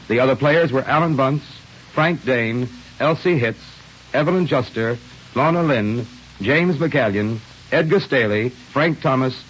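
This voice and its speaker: A man's voice: medium pitch, breathy edge. A male announcer reading scripted credits in a 1940s radio style. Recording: dull lo-fi vintage radio broadcast.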